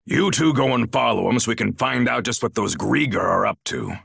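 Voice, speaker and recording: An actor playing a brash, blunt Norman warrior chief in a video game, gravelly and husky, with a gruff, folksy, commanding way of talking. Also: medium pitch, expressive, fairly quick, fairly bright tone, no room sound, clean studio sound, full character voice. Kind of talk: video game cutscene acting.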